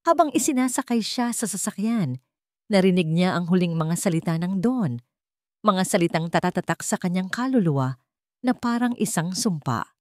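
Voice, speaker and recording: A woman's voice: on the low side, animated, normal speed, dry recording, clean studio sound. A woman reading scripted, dramatic story narration.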